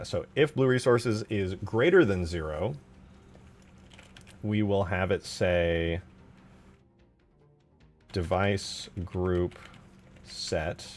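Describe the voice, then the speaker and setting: A man's voice: sits low, a little mellow. A man thinking aloud in casual, informal commentary as he works at a computer, often muttering to himself. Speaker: streamer, game modder. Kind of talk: casual live commentary while scripting a game mod.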